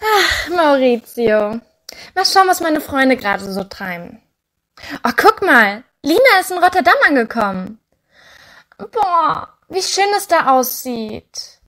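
A woman's voice: high-pitched, very animated, takes it slowly, sharp tone, heavily acted. A woman playing a cheerful, curious little witch girl in an audio drama, childlike and chatty.

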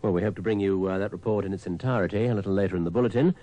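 A man's voice: low voice, very fast, mellow, dry recording. A man reading a radio news bulletin. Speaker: radio newsreader.

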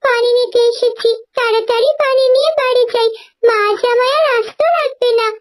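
A woman's voice: very high-pitched, steady tone, normal speed. A woman voicing a meek, mistreated young village girl in a cartoon fairy tale, timid and plaintive. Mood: puzzled, hurried.

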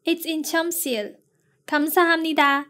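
A woman slowly reading lesson phrases and their translations with an even, spaced delivery. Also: high-pitched. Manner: slow, evenly spaced delivery. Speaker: lesson reader.

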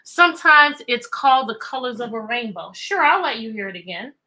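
A middle-aged American woman hosting a chatty, interactive livestream for children, animated and repeating phrases and questions to draw listeners in. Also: on the high side, a little fast, poor audio. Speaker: teacher, children's read-aloud host. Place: indoor room with bookshelves.

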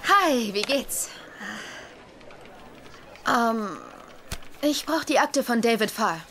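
An actress playing a proud, high-strung young woman doctor in a dubbed TV sitcom, testy and defensive. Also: animated, drawn-out words, over music. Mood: exasperated. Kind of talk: German-dubbed TV sitcom acting.

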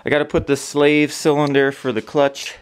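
A man's voice: on the high side, flat delivery, normal speed, clear, resonant tone. A young man chatting casually in a laid-back, slangy, sweary way as he hosts a car repair vlog from his garage. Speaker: host of a DIY car repair channel. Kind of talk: casual vlog chat while working. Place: garage workshop with open door.

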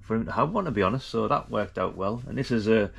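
Middle-aged man, gravelly. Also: fairly low pitch, animated, quick pace. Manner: informal, chatty, blokey. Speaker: vlog host. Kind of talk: casual vlog chat.

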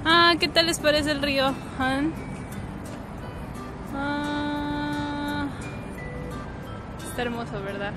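High-voiced Ecuadorian woman vlogging outdoors. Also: extremely slow, long pauses. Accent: Ecuadorian Spanish. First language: Spanish. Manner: chatty, giggly. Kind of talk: casual vlog chat. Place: outdoors on a riverside path at night. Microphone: handheld phone or camera. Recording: music behind, handheld outdoor recording.

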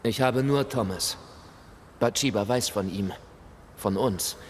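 An actor playing the embittered, polite ghost of a colonial shop clerk, with formal, theatrical delivery in a video game. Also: male voice, lowish voice, takes it slowly. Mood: wistful. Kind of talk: video game dialogue acting. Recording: hall reverb, noisy ambience.

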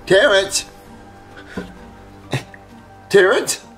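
A man voicing a whiny, excitable young boy puppet who stammers and repeats himself, in a comedy skit. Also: high voice, drawn-out words, over music. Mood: groggy, puzzled.

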